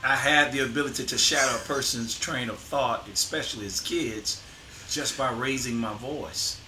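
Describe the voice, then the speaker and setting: A man's voice: mid-range voice, moderate pace, pushed and bright. A middle-aged man hosting a casual conversation, firm and emphatic, pushing his voice up to stress points. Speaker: host, father interviewing his son. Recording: echoey room with faint noise.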